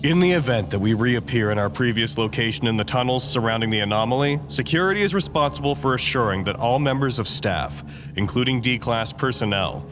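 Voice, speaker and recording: An actor playing a grave, weary facility leader in an audio drama, speaking formally and deliberately. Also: male voice, on the low side, long reverb tail, lightly acted. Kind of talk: scripted audio drama acting.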